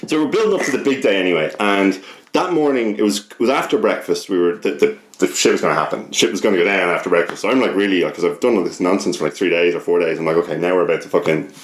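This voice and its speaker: A man's voice: low-pitched, fast talker, echoey room, slight background noise. A young Irish man telling a personal story casually as a podcast guest, sweary, rambling and often interrupting himself.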